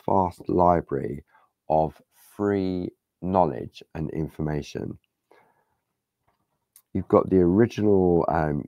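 A man with a low, gravelly, hoarse voice talks in an easy, rambling way while he demonstrates a craft live. His delivery is unhurried, his pitch swings widely, and his tone is warm and mellow. The sound is close and dry. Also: dry recording. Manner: relaxed, chatty, rambling. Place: craft studio table. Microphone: overhead camera on hands, speaker unseen.

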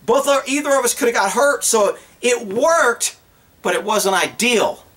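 A middle-aged man talks in a raised, high pitch. He explains in a folksy, animated and blunt way, unscripted, like a trainer addressing a camera outdoors. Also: measured pace, slight background noise. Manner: animated, blunt, folksy, acts out imagined dialogue. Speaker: horse trainer and vlogger. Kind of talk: casual off-the-cuff instructional talk to camera. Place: outdoors on open rural land.

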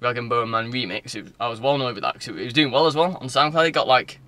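A man's voice: medium pitch, fast talker, bright, forceful tone. A man in his twenties with a Northern English accent, chatting casually and loosely in a rambling vlog, recorded on a phone at arm's length inside a car. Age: 20s. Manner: informal, loose, digressive. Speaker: vlogger. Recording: faint car-interior background noise.

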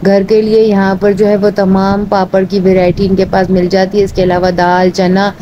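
A woman's voice: medium pitch, normal speed, lo-fi sound, slight background noise. A woman with a Pakistani Urdu accent, narrating a market tour and listing goods and prices one by one. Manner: steady, listing items one by one. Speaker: vlogger. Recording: music bed underneath.

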